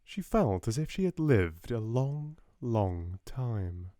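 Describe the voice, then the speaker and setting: A soft-spoken man with a British accent, narrating an audiobook in a measured, restful bedtime style. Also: medium pitch, sing-song, dry recording.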